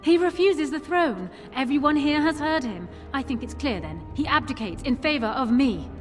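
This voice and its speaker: Casting: haughty, determined fantasy-game queen, cold and defiant, slightly high voice, reverberant hall. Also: animated, moderate pace. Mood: assertive. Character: proud, defiant, cold queen clinging to power. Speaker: actor in a fantasy role-playing game. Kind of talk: dramatic game cutscene dialogue. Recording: long hall reverb, music underneath.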